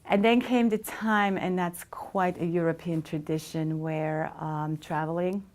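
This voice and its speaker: A woman's voice: on the low side, steady tone, measured pace, darkish tone. A middle-aged woman from Switzerland, telling her life story in an interview with frequent hesitations. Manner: reflective, many hesitations. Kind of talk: casual storytelling.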